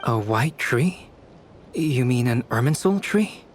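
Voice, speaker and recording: An actor playing a grave, dutiful young man in a video game, measured and formal. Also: medium pitch, expressive, slight background noise. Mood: curious.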